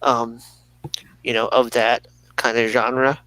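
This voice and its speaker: A man's voice: medium pitch, little pitch movement, takes it slowly, pushed and bright, no echo, poor audio. A man co-hosting a talk show in casual, unscripted conversation.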